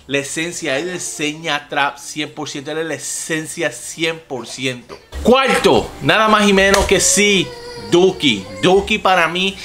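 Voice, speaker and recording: Animated Puerto Rican man, bright voice. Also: a little high, measured pace. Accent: Puerto Rican Spanish. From Puerto Rico. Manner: animated, profane, gestures a lot while talking. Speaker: music reaction video host. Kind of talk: casual reaction vlog. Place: home room with TVs and gaming gear, indoors.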